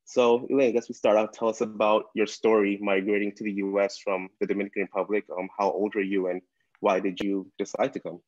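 A man's voice: lowish voice, flat delivery, fairly quick, darkish tone, dry recording. A man in his thirties hosting a live online interview, reading introductions with small slips, on a video call from a room. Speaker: event host. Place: indoor room at a desk chair. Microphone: webcam on a video call. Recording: dull lo-fi video call audio.

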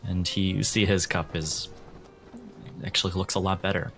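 A man working as a game master, giving atmospheric narration for a tabletop game over soft guitar music. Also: sits low, flat delivery, normal speed.

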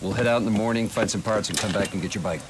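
An actor playing a tough, loyal biker sidekick, blunt and wry, in a video game cutscene. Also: male voice, fairly low pitch, racing, background noise, natural voice. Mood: dry, practical.

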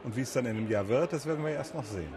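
Fast-talking man in a busy crowd. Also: medium pitch, lively intonation. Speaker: interview guest. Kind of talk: casual spoken interview answers.

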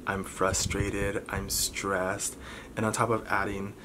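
A man in his twenties speaks in a fairly low voice, slowly and with frequent pauses. He talks candidly and personally to the camera, recorded in a bedroom with clear background noise. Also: flat delivery. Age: 20s. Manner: halting, pausing often between short phrases. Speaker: vlogger. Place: bedroom, indoors. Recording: clearly noisy room recording.

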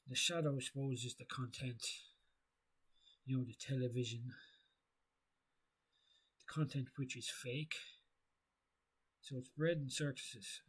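A man with a husky voice giving a rambling philosophical monologue, halting and repeating himself as he thinks aloud. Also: medium pitch, rough recording. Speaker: video creator.

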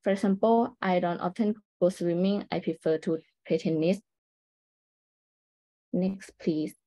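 A woman's voice: on the low side, a little slow, mellow. A young adult woman, a student, reading a scripted class presentation aloud.

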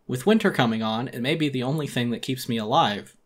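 A man's voice: mid-range voice, a little fast. Theatrical man narrating a story. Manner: theatrical, brooding. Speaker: audiobook narrator. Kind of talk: dramatic scripted first-person narration.

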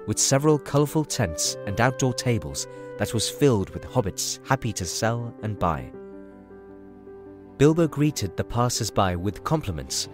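A man narrating a scripted fantasy story as a storybook narrator. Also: lowish voice.

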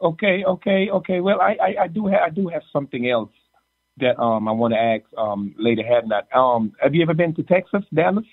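A man's voice: on the high side, expressive, fairly quick, slightly rough, no room sound. Jamaican-born male co-host, playful, stammers and repeats himself, tinny dry phone-like sound. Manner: joking, teasing, repeats words. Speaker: podcast co-host. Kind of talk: casual chat.

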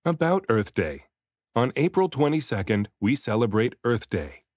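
A man narrates a picture book for young children with expressive emphasis. His medium-pitched voice rises and falls in a lively, animated way. The sound is dry and close, with very little room. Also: normal speed, dry recording. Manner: expressive, varies delivery for emphasis. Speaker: children's book reader, dad and voice actor. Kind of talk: read-aloud storybook narration.

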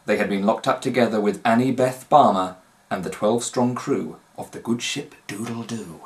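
A man's voice: on the low side, moderate pace. A man, narrating a children's story expressively and dramatically. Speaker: author reading aloud. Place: small home room, indoors.

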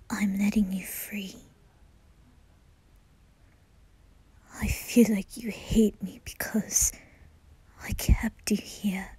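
A woman voicing a wistful, lovesick young gentleman in a halting, often whispered ASMR roleplay monologue. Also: mid-range voice, breathy edge, no room sound. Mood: tender, resigned. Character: wistful, lovesick aristocratic young man, former orphan.

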